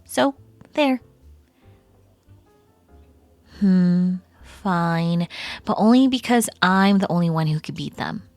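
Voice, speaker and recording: A woman playing a chatty, teasing female best friend in casual, animated roleplay audio. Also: on the low side, a little slow, no room sound, soft background music.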